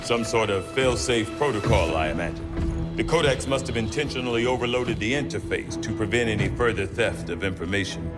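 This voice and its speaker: A man's voice: fairly low pitch, natural voice. Man playing a precise, self-assured scientist, music behind. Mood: composed, assured. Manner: formal, precise, measured. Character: erudite, self-assured male alien-research scientist. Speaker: scientist, autopsy narrator. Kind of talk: scripted video game cutscene acting.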